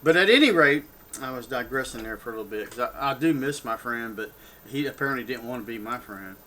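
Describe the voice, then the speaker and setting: A man's voice: medium pitch, expressive, fast talker, pushed and bright, slight background noise. An older man with a Texan accent, ranting off the cuff on his own channel, rambling and heated, with many restarts and asides. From Texas. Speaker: political vlogger. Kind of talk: off-the-cuff rant.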